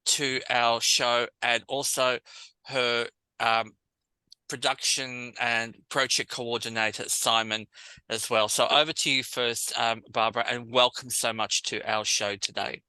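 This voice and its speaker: A man hosts a podcast interview in an eager, effusive manner. His voice is very bright and forceful. The recording is dry and close. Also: mid-range voice, steady tone, unhurried, smooth, no room sound, low-quality recording. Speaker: podcast host. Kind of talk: interview hosting.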